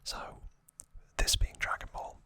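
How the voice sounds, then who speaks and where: A host whispering an ASMR recap in a soft, unvoiced, unhurried voice with frequent pauses. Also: dry recording.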